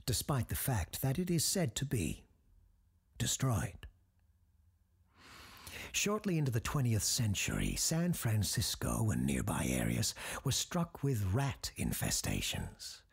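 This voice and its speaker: Gravelly, breathy grave scholarly doctor. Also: male voice, mid-range voice, wildly swooping pitch, full character voice. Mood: grave, ominous. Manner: slow, deliberate, ominous, with dramatic pauses. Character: grave scholarly doctor recounting dark legends. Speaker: character host telling a tale. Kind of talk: scripted horror storytelling for audio drama.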